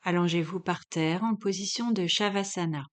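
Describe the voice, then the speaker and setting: A woman leading a guided yoga relaxation as an instructor, speaking calmly and in measured instructions with long pauses. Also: lowish voice.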